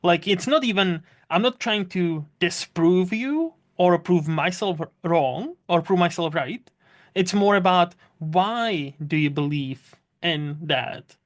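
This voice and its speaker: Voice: medium pitch, slow pace. A podcast host, not originally from Scotland, chatting casually and often laughing, with many "like"s. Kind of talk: casual conversation.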